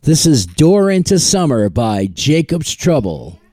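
A man hosting a music podcast in a casual, deadpan way, slipping in dry jokes and wry asides. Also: on the high side, a little slow, dry recording. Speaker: podcast host.

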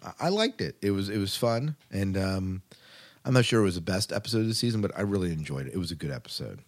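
A man's voice: deep voice, animated, fast talker. An American man hosting a podcast, reading news items and chatting casually between them. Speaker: podcast host. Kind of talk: podcast news segment, partly read, partly casual chat.

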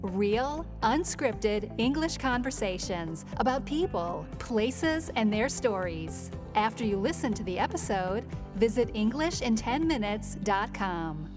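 A woman reading a slow scripted show introduction as the announcer. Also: a little high, sing-song. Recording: distant voice over loud music.

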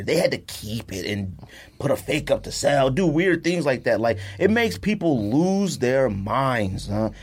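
Animated male podcast host, slangy delivery. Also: on the high side, breathy edge. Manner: animated, slangy, repeats himself. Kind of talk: casual sports and sneaker chat.

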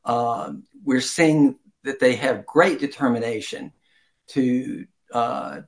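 Older male interview guest, writer type. Medium-pitched, conversational, meandering and modest, given to chuckles. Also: slow. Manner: rambling, chuckling, self-deprecating.

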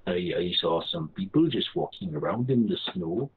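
A man with a raspy voice rambling through a silly comic monologue and playfully switching accents. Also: low-pitched, animated, moderate pace. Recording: tinny phone-like line.

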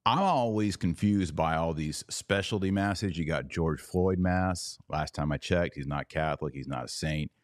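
A man's voice: deep voice, moderate pace, hardly any pauses, no echo, clean studio sound. A man hosting a solo podcast, delivering opinionated commentary in a sardonic, emphatic way. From Texas. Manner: sardonic, emphatic, shifts pace often. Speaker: podcast host.

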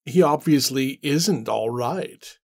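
A man's voice: medium pitch, lively intonation, slow, vocal fry, a little mellow, full character voice. A man playing a scornful, sarcastic man who sneers at everything. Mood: mocking. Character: scornful sardonic man, mocking and brash.

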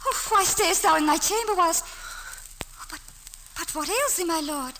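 A woman playing an excitable, alarmed noble lady, breathless and archaic, in an old radio drama. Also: very high voice, normal speed, heavily acted. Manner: breathless, flustered, archaic speech. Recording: faintly noisy vintage radio recording.

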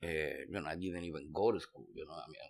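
A man's voice: rumbling low, quick pace. A middle-aged man from Puerto Rico with a Puerto Rican accent, telling his story casually and in a rambling way as an interview guest. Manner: rambling, peppered with "you know". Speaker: interview guest, restaurant owner.

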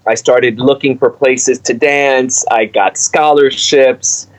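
A middle-aged man from Cuba recalls his path into dance in a loose, animated interview manner. He speaks at an ordinary pitch, often pausing on fillers as he builds his story. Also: low-quality recording. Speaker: artistic director of a dance company. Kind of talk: conversational interview answers.

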